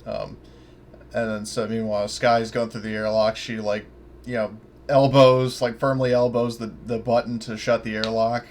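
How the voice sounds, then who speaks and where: A man's voice: fairly low pitch, flat delivery, normal speed, darkish tone, a little hiss or hum. A man playing a tabletop roleplaying game, chatting casually and thinking aloud as he describes his character's actions.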